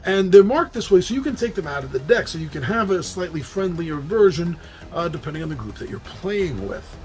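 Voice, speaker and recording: Lively high-voiced New York man hosting. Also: brisk, faint music behind. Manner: chatty, animated, laughs at his own slips. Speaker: host. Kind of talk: casual monologue on board games.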